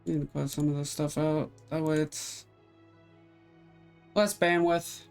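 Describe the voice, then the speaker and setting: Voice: mid-range voice, level tone, slow pace, vocal fry, darkish tone, smooth. A game streamer giving casual, offhand, laid-back commentary while playing live. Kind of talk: casual livestream gameplay commentary. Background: faint game music.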